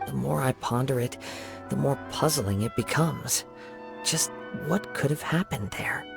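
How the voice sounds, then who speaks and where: A man voicing a calm young wandering samurai in a video game, speaking in a measured, thoughtful way. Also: mid-range voice, creaky, over music. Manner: measured, thoughtful and soft-spoken. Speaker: investigating hero.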